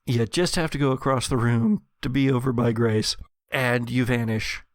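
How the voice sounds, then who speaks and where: A man with a creaky voice improvising dramatic, vivid narration as the game master of a tabletop horror game. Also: mid-range voice, steady tone, moderate pace, dry recording, clean studio sound. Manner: dramatic, vivid second-person storytelling.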